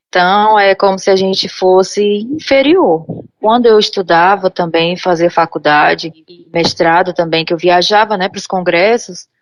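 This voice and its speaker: A woman's voice: mid-range voice, no room sound. Reflective woman, dry close sound. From Acre, Brazil. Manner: reflective, recounting experiences. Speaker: podcast guest. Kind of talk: casual conversation.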